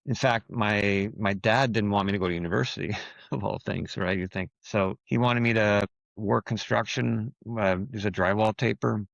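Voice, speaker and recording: A man's voice: fairly low pitch, fairly even intonation, normal speed, vocal fry, a bit bright, dry recording, highs cut off. A man from Quebec whose first language is French, talking as a podcast guest in a reflective way, often restarting his sentences. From Quebec, Canada. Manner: thoughtful, with frequent restarts and fillers. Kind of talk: casual interview talk.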